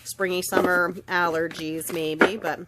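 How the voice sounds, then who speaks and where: A middle-aged woman with a slightly stuffed-up, nasal voice, gently and earnestly giving an oracle card reading and reading passages aloud. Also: lowish voice, flat delivery, a little slow, very noisy. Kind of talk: casual spiritual card reading.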